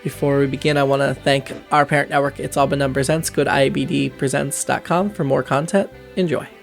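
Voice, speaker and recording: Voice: medium pitch, steady tone, brisk, creaky, faint music behind. A podcast host delivering a scripted introduction to a show.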